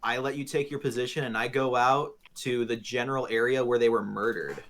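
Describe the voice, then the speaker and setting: A man's voice: mid-range voice, a little fast, fairly bright tone. A man chatting casually and playfully as a player in a tabletop roleplaying game, describing what his character does.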